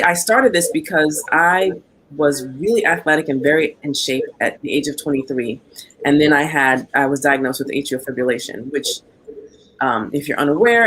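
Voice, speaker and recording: A woman's voice: very deep voice, breathy edge, low-quality recording. A woman introducing herself on a panel, explaining things in an earnest way. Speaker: panel guest, wellness company founder. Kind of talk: self-introduction on a webinar panel.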